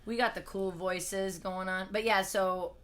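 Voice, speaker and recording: A young woman with a hoarse voice, a podcast guest chatting casually at home, laughing often and trailing off mid-sentence. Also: mid-range voice, fairly even intonation, a little slow, a bit bright. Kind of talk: casual interview chat. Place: family home.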